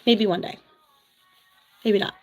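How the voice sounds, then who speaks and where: A chatty woman with a Philadelphia accent, talking frankly and casually to her viewers. She throws in quick asides, and soft music plays faintly behind her. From US East Coast, New Jersey. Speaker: vlogger, former nurse. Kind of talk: casual livestream chat reading viewer comments.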